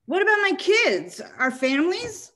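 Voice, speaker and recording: A woman's voice: extremely high pitch, very animated, normal speed, a bit bright, full character voice. An actress playing an anxious, angry colonist mother demanding answers in a screenplay table read. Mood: worried, demanding.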